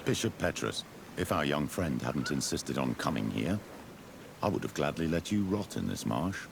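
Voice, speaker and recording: A man playing a stern, disapproving older bishop in a video game, with a gravelly voice and a pompous, dry, deliberate delivery. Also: big pitch swings, noisy background, full character voice. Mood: dry disdain. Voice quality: very deep, gravelly. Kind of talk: video game cutscene acting.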